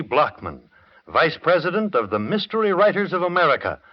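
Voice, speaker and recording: A dull-sounding vintage radio recording of a male host. He announces formally and theatrically, with measured phrasing and very lively intonation. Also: on the high side. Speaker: host and narrator.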